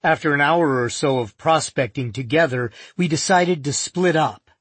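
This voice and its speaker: Man narrating a nonfiction audiobook, husky. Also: fairly high pitch, a bit bright, no echo. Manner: measured, vivid storytelling.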